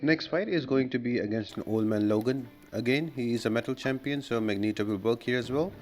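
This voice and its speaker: A man's voice: medium pitch, brisk, a little mellow. A man giving a casual, step-by-step walkthrough commentary as the host of a gaming guide. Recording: clearly noisy voice-over with background ambience.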